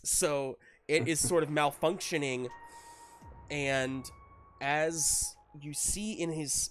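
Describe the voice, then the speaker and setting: A game master improvising the narration of a tabletop game in a halting, searching way. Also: mid-range voice.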